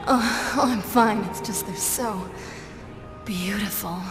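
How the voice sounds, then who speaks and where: A woman's voice: mid-range voice, slow pace, lightly acted. A woman acts out a sardonic half-vampire action heroine for a video game. She is tough and blunt, heard in a long reverb with music behind. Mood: awed. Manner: wry, hard-boiled, blunt. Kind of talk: video game character acting.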